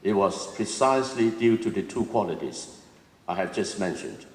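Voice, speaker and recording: A man giving a measured, formal address to students at an opening ceremony. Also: on the low side, animated, a little mellow. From Hong Kong. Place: large auditorium. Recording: echoey hall, long reverb.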